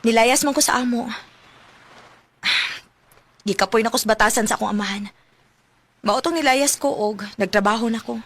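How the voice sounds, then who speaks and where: A woman's voice: a little high, moderate pace, long pauses. A woman with a Cebuano accent acting a frank, forward young woman in love in a radio drama. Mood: wistful. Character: frank, forward young woman reunited with her old crush.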